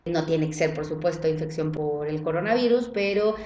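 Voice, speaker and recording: A woman gynecologist, explaining clinic measures in a relaxed podcast chat and often adding tag questions. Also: low voice, normal speed, lo-fi sound. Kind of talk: casual podcast conversation. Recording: roomy sound.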